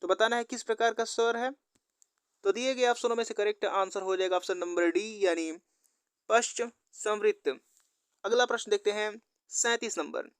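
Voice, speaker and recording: A woman narrates an exam-practice lesson as a teacher. Her voice is smooth and clear at a middle pitch, with very little room sound. She is methodical and instructional, restating each question and answer. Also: moderate pace, dry recording, clean studio sound. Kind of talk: scripted quiz lesson narration.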